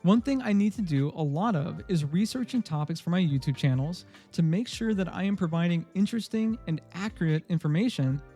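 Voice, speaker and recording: High, mellow-voiced man reviewing tech. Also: lively intonation, brisk, few pauses, faint music behind. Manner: upbeat and emphatic, openly gushing. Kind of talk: scripted tech review voice-over.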